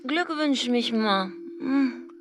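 A young bride, drunk and tearful, in a radio play. Her voice sits high and she drags her words slowly and emotionally. Faint music plays behind her. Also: lively intonation. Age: young adult. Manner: drunken, slow, emotional delivery. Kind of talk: German radio play acting.

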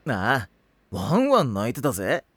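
A man's voice: mid-range voice, huge pitch swings, moderate pace, a bit bright, slightly rough, no echo, exaggerated voice. A man playing an easygoing, kindly teenage boy in an anime audio drama, casual and lightly teasing. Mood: relaxed, reminiscing.

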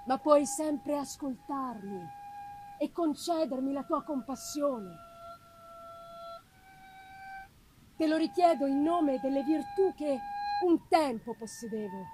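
A woman voicing Frankenstein's creature, a wretched, eloquent male monster, in a solemn, halting, declamatory gothic reading. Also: high-pitched, background noise. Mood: sorrowful, imploring. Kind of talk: dramatic reading of a gothic novel.

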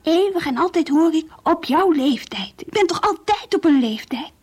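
Earnest, high-voiced devout Dutch teenage girl. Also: animated, moderate pace, darkish tone. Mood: stubborn. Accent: Netherlandic Dutch. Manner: earnest, dreamy, stubborn. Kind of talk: Dutch radio play acting, diary monologue and dialogue. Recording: faintly hissy old radio play recording.

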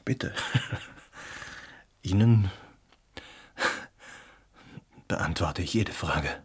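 Slow, husky, haunted man. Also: deep voice, expressive, exaggerated voice. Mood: hesitant. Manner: halting, hesitant, breaking into soft laughs. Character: ailing, haunted male writer, fearful yet fervent. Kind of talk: radio play dialogue.

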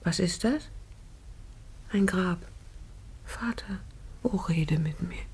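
A woman acting in a radio drama as a frightened, innocent, grieving daughter, breathy, pleading and tearful. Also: lowish voice, takes it slowly, darkish tone, exaggerated voice. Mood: fearful.

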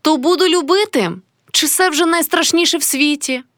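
A woman's voice: sits high, normal speed, fairly bright tone, no echo, clean studio sound, pitched above the performer's own voice. A woman voicing a passionate, proud young female artist with an intense, dramatic delivery, as in an audiobook story. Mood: disdainful.

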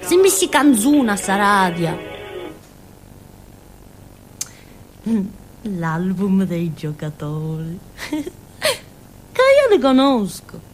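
A woman's voice: medium pitch, wildly swooping pitch, takes it slowly, soft background music, rough recording. An actress playing a naive, simple-minded village woman in a theatrical monologue, rambling and childlike with a southern Italian, Calabrian accent. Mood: proud, chatty. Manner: rambling, childlike, with muttered asides and little interjections. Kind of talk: theatrical monologue in a radio drama.

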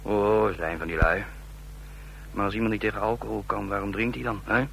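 An actor playing a breezy, cocky photographer and informant, chatting colloquially in a radio drama. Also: male voice, fairly low pitch, fairly even intonation, a little fast, gravelly, a bit bright. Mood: breezy, smug. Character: breezy, slangy male photographer working as informant. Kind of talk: radio drama acting, phone report. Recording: faintly noisy old radio recording.

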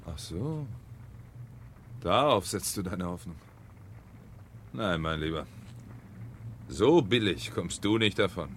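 A man's voice: low-pitched, lively intonation, slow, pauses often, a bit bright, slight background noise, full character voice. An actor playing a smug, menacing fire captain who lectures his fallen subordinate in a mocking, pontificating way, in 1970s German radio drama style. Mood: suspicious, threatening.

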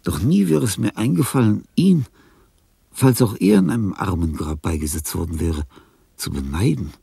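A gravelly, imperious old dying composer. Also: male voice, lowish voice, expressive, dark tone, no room sound, exaggerated voice. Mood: reminiscing. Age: older. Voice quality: husky, gravelly. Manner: declamatory, pompous, pausing, emphatic. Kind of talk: radio drama monologue.

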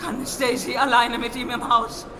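A woman's voice: a little high, level tone, normal speed, natural voice. An actress playing a distraught, guilt-ridden mother and landlady with urgent exclamations in a radio drama. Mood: panicked. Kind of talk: German radio drama acting. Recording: echoey hall, loud crowd and music behind.

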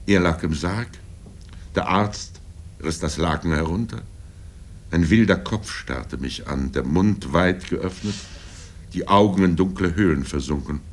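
An older man reading literary first-person prose in a grave, deliberate manner with dramatic pauses. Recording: low rumble and background noise.

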